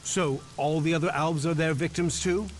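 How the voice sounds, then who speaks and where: An actor playing a wary, commanding resistance leader in a video game, with a stern, demanding and deliberate delivery. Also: male voice, fairly high pitch, steady tone, a little hiss or hum, natural voice.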